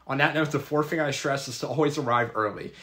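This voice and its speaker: A man's voice: medium pitch, fast talker, fairly bright tone. A young man, a college student and sports announcer, giving earnest, methodical advice in a scripted vlog. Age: young adult. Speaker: public address announcer.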